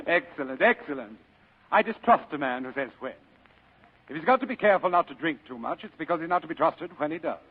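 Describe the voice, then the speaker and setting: Radio drama villain: big jovial schemer, florid and courtly, lighter-pitched voice, muffled vintage broadcast. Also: male voice, lively intonation, brisk, long pauses, husky. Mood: jovial, effusive. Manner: florid, courtly, chuckling speech. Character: jovial, sly, heavyset male crime boss. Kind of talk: 1940s radio acting.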